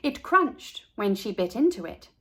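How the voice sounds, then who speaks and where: A high-voiced woman narrates a children's story with lots of melodic movement. She takes her time, shaping each phrase deliberately and expressively for young listeners. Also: husky. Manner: slow, deliberate, animated. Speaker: storyteller reading children's picture book. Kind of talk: expressive read-aloud narration for kids.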